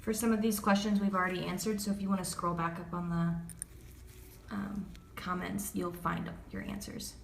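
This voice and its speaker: A woman's voice: on the low side, little pitch movement, normal speed, vocal fry. A woman assistant casually reading out viewer questions for a live demonstration, recorded in an echoey room. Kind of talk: casual live-stream moderation. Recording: echoey room with background noise.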